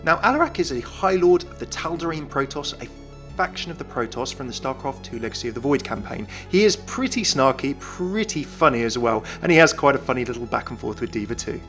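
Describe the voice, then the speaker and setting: A man's voice: mid-range voice, lively intonation, a little fast, a bit bright, faint music behind. A man narrating a scripted gaming video as its presenter.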